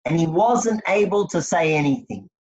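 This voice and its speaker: A man's voice: fairly high pitch, expressive, normal speed, darkish tone. Man teaching a text class, dull sound. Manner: explains step by step, quizzing the class. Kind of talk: text study lesson. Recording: dull lo-fi recording.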